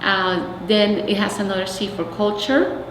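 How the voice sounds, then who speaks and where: A woman with a Mexican accent, a native Spanish speaker, answers as an interview guest in an explanatory way. Her voice sits at an average pitch. The room is echoey and a faint noise runs underneath. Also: measured pace. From Mexico City, Mexico. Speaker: interviewee, arts nonprofit representative. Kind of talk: oral history interview, explanatory.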